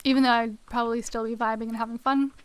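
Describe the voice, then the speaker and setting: Young woman, slightly high voice, casual gaming stream chatter, says "like" a lot, reads out chat, voice a little worn. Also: flat delivery, fast talker, clear, resonant tone. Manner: chatty, reads out chat messages, many fillers like "like". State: slightly losing her voice.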